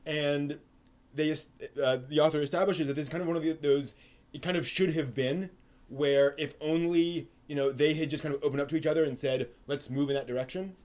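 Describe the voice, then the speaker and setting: A man's voice: a little high, fairly even intonation, a little fast, a little mellow. A man hosting a live stream, talking through his thoughts casually with many restarts and fillers. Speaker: host of an anime live stream. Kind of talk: casual discussion answering chat.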